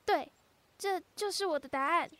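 A woman playing an earnest, determined young girl in an audio drama of an animated fantasy. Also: very high voice, moderate pace, very noisy. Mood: steady, resolute.

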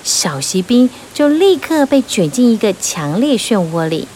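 Animated woman telling a fairy tale. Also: a little high, unhurried, a little hiss or hum, poor audio. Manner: animated, expressive, rising and falling with the drama. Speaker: storyteller. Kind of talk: scripted narration of a children's fairy tale.

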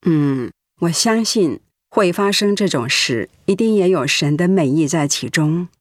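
An actress playing a weary, devout middle-aged pastor's wife in a radio drama, ailing but calm and accepting. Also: female voice, on the low side, measured pace, dry recording, studio quality. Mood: calm, trusting. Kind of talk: Mandarin radio drama acting.

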